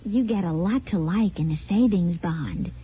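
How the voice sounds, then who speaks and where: An actress playing a perky, skeptical, insistent wife in an old-time radio advertisement sketch. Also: female voice, lowish voice, normal speed, husky. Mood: perky, pitching. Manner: chirpy, teasing, insistent. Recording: muffled vintage radio recording, faint noise.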